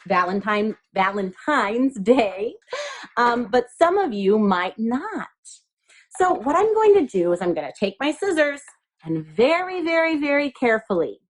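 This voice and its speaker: Female primary teacher, very lively intonation, guiding little kids through a craft in simple, encouraging, repetitive language. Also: medium pitch. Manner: encouraging, simple words, repeats instructions. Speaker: elementary school teacher. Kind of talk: step-by-step craft instruction for young children.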